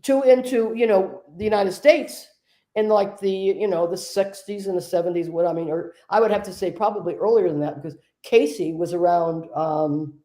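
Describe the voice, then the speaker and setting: Mellow low-voiced woman host. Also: moderate pace. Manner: relaxed, discursive storytelling. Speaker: host and trance medium. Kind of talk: casual conversation.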